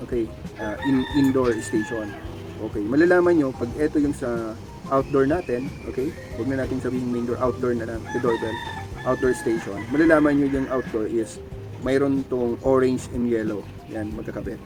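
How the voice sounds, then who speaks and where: A man's voice: mid-range voice, moderate pace, rough recording. A man with a Filipino accent giving a casual, explanatory product tutorial, often checking in with short tag questions. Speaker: tech tutorial presenter. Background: music.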